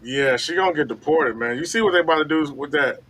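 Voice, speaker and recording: A man's voice: fairly high pitch, fast talker, a bit bright. A man co-hosting a casual podcast, blunt and repeating points for emphasis. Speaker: podcast co-host. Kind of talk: casual chat.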